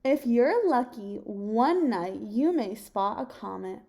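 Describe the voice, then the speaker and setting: Young woman, storytime teacher read-aloud, unhurried and slightly high-pitched, phrasing carefully for children. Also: animated, vocal fry, warm tone. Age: young adult. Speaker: teacher reading a picture book aloud. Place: home kitchen, indoors.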